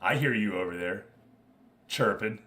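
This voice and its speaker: A man's voice: lowish voice, a little slow, bright, forceful tone. A man hosting an online football management game league, chatting casually and informally with his viewers as he commentates.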